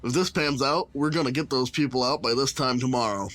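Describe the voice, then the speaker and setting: A man's voice: mid-range voice, fairly quick, a bit bright, natural voice. A man playing an eager, decisive warship captain in a sci-fi audio drama.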